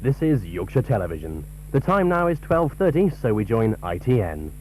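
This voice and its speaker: A man's voice: mid-range voice, very animated, normal speed, darkish tone, a little hiss or hum, poor audio. A man reading scripted weather and station announcements as a television continuity announcer.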